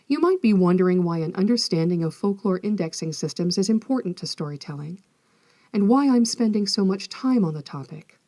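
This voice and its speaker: A woman hosting an educational podcast, reading scripted narration in a measured, articulate, explanatory manner. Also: lowish voice, a little mellow, no echo. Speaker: podcast host, folklorist.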